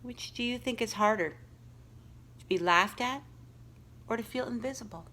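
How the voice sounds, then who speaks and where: A woman reading a children's story aloud like a school librarian, with deliberate, expressive storytelling phrasing aimed at young listeners. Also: a little high. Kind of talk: expressive picture-book read-aloud with questions to young listeners.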